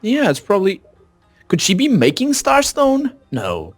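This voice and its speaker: A man's voice: high-pitched, wildly swooping pitch, a little slow, faint music behind. A man chatting casually during a co-op game, thinking aloud and often questioning. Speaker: gaming video player. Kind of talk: casual co-op playthrough chat.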